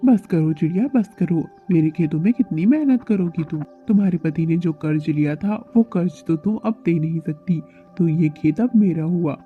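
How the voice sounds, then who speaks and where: A man voices a callous crow moneylender in a children's cartoon. He is demanding and impatient, in a somewhat high male voice. The recording is narrow and phone-like, with faint music behind. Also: normal speed, close to an everyday voice. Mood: threatening, dismissive. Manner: demanding, dismissive. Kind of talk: Hindi children's cartoon dialogue. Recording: thin phone-like audio with music underneath.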